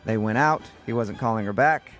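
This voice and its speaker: A man hosting a radio show with lively, teasing, jokey banter. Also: medium pitch, brisk. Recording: music bed behind. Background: music.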